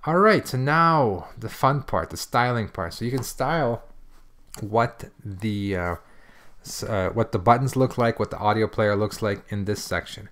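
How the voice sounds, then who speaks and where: A man demonstrating software in a casual screencast tutorial, explaining things informally step by step. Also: on the low side, expressive, a little slow.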